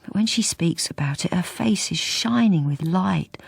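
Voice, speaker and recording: A lonely, invisible middle-aged wife who narrates her own radio drama. Her voice is low and creaky, dropping at times to a breathy near-whisper. She confides hesitantly, wistful and understated. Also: animated, normal speed, no echo, exaggerated voice. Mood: wistful wonder.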